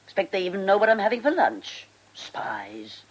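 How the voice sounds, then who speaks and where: An actress playing an imperious, vain old retired stage star in a radio drama, with a theatrical, bossy, affectionately rude manner. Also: female voice, mid-range voice, big pitch swings, normal speed, slightly rough. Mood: imperious, irritated. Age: older.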